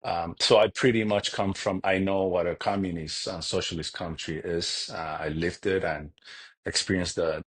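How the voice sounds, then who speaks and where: A man's voice: low voice, steady tone, a bit bright, slightly rough. A man from Cuba, a podcast guest, talking earnestly in a casual interview, often restarting his sentences. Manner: earnest, halting with frequent restarts.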